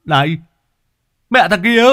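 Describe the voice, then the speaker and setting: A man voicing a short-tempered, rough middle-aged laborer who yells and swears. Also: high voice, expressive, slow, voice pushed up, exaggerated voice. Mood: angry. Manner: yelling, swearing.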